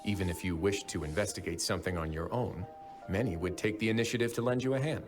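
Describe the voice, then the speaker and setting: A man voicing a composed, shrewd, gentlemanly fortress overseer in a video game, articulate and deliberate. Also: sits low, music in the background, lightly acted. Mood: gently persuasive.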